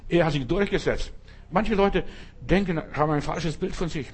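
A man's voice: fairly high pitch, moderate pace, slight background noise. A man preaching a sermon, speaking emphatically in repeated refrains and addressing the listener directly.

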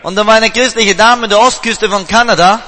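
A man's voice: sits high, steady tone, brisk, sharp tone, long reverb tail. A man working as a live interpreter at a revival meeting, translating the preacher phrase by phrase. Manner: translates each phrase right after the preacher.

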